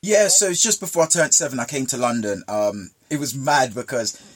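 Husky-voiced man telling stories. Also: medium pitch, animated, a little fast. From Jamaica. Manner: storytelling, emphatic repetition. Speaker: guest. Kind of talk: casual conversation.

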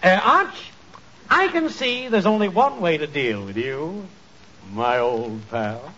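Smooth-talking, persuasive salesman, high animated voice, chummy pitch, muffled 1940s radio comedy. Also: takes it slowly, a little hiss or hum, exaggerated voice.

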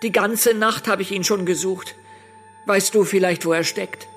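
A man playing a small, gentle, polite star man in a children's audio play, hesitant and a little worried. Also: high-pitched, steady tone, normal speed, faint music behind.

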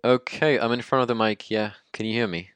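An actor playing a haunted young Mexican man in his twenties, telling his tragic story in a halting, sweary, informal monologue for an audio drama. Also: mid-range voice, fairly even intonation, a bit bright, clean tone, dry recording, lightly acted. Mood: reluctant, edgy. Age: 20s.

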